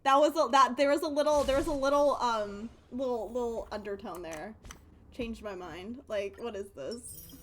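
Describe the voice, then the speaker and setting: A woman's voice: fairly high pitch, normal speed, slight background noise. A woman live streaming a video game, giving casual, playful, slangy commentary. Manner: playful, slangy, reading game text aloud.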